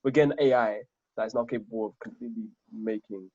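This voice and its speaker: A man's voice: lowish voice, steady tone, quick pace, warm tone, dry recording, dull audio. A man in his twenties co-hosting a podcast, asking rambling questions in a playful, casual way. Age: 20s. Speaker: podcast co-host.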